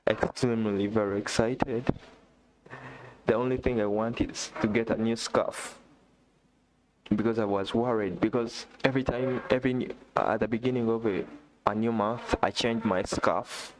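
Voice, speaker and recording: A man from Uganda, not a native English speaker, chatting excitedly on a live stream, repeating himself and talking straight to a viewer. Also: on the low side, moderate pace, slight background noise, poor audio. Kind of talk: casual live-stream chat. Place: indoor room.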